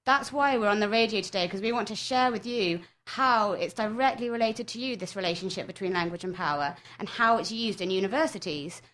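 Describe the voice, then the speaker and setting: A woman's voice: mid-range voice, brisk, few pauses, fairly bright tone. Young woman presenting on radio. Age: young adult. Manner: earnest, reads from script, addresses listeners. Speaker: student presenter.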